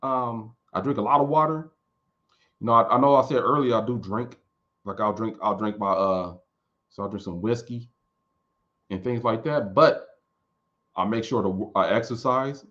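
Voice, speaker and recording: A man's voice: on the low side, moderate pace, pauses often, a bit bright, lo-fi sound. A man in his late thirties, a music producer chatting casually and rambling with restarts while answering viewers. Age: 30s. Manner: loose, rambling, frequent restarts. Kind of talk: casual live chat.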